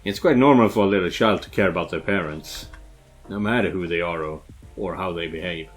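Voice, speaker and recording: A man voicing a gentle, attentive coffee shop barista who listens sympathetically in a visual novel. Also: low voice, fairly quick, voice pushed down. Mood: sympathetic. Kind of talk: fandubbed visual novel dialogue. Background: background music.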